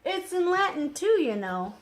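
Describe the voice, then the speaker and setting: A woman's voice: very high-pitched, big pitch swings, a little slow, voice pushed up, exaggerated voice. A woman voicing a kindly, enthusiastic older male doctor in a children's storybook, exclamatory and encouraging. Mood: excited, encouraging. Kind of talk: children's storybook read-aloud.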